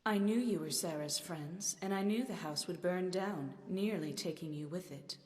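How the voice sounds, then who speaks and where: A woman's voice: on the low side, normal speed, a little mellow, natural voice. An actress playing a bossy, teasing woman seer in a video game, with imperious, archaic and condescending phrasing. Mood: haughty, commanding. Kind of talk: video game cutscene acting. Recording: long reverb over game music.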